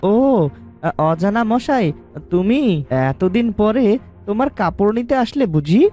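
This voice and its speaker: A simple, mild young cartoon husband, voiced by a woman, with a mellow tone and a slow, unhurried delivery. He sounds naive and easygoing, over light music. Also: medium pitch, animated. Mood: puzzled, evasive. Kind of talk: cartoon character acting. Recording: music behind the voice.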